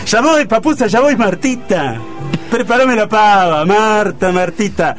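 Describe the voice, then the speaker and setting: Melodramatic old Argentine husband, high-pitched. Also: male voice, a little slow, soft background music. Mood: melodramatic despair. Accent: Argentine Rioplatense. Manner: melodramatic, old-fashioned slang. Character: stiff, grumbling old Argentine husband and tango purist. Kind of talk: comic radio play acting.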